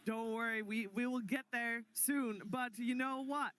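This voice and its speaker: A woman's voice: a little high, fairly even intonation, unhurried, fairly bright tone. A woman hosting a live esports panel and introducing what comes next.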